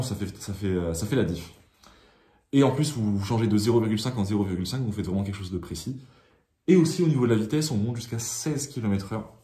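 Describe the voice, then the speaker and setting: A man's voice: on the low side, dark tone. A young man in his twenties giving a casual, hesitant tour of his small home gym, talking to a phone camera held at arm's length. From France. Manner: chatty, hesitant, many restarts and fillers. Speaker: triathlon vlogger. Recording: echoey small room.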